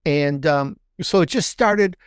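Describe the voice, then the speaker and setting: A man's voice: on the high side, slow, clean tone, no room sound, studio quality. A man speaking as a guest author in a conversational interview, explaining things in an enthusiastic, rambling way. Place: video interview with book backdrop.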